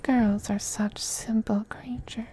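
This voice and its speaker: A man playing a possessive, teasing femboy vampire in an intimate ASMR roleplay, speaking softly and breathily, often in a whisper, with coaxing, condescending affection. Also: high-pitched, level tone, slow pace. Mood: coaxing, doting. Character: possessive, teasing, doting femboy vampire master styled as mistress.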